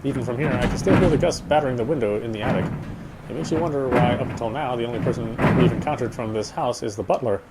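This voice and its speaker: A man's voice: mid-range voice, fast talker, very noisy. A man reading a horror game's story aloud as dramatic narration, sometimes stumbling and rereading phrases. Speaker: game player reading story text aloud. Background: game music.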